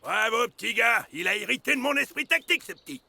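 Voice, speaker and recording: A blustering old retired general for a cartoon. The voice is creaky and pitched very high, with big swings in tone. He orders everyone about in a gruff, military way. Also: expressive, quick pace, sharp tone, exaggerated voice. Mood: gruff, commanding. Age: older. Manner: bossy, military, drilling out rules.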